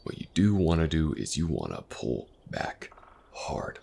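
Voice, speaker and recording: A very deep-voiced elf mercenary, acted by a man, full of gravelly creak. He is a patient, gently awkward companion in a fantasy audio roleplay, speaking softly with halting pauses. Faint outdoor ambience sits behind him. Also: sing-song, a little hiss or hum, full character voice. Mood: patient, instructive. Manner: hesitant, soft-spoken, casual, with halting pauses.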